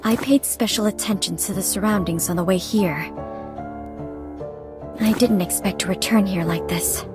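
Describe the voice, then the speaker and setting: A woman playing a reserved, watchful young investigator in a video game, speaking in a deliberate, guarded way. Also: medium pitch, close to an everyday voice. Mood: pensive, resolute. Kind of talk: scripted video game dialogue and monologue. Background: music.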